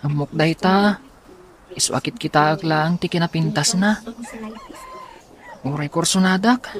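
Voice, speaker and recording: Slow-spoken, sorrowful young Ilocano orphan played by a man. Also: on the high side, a crowd in the background, natural voice, low-quality recording. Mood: downcast. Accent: Ilocano Filipino. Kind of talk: Ilocano radio comedy-drama acting.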